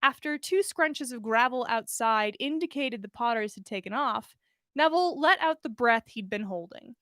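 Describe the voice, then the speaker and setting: A woman podcast host reads fiction aloud with expression. Her voice is high and very varied in pitch, and it sounds dry, with little room around it. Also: animated, normal speed, fairly bright tone, no echo. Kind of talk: expressive story reading.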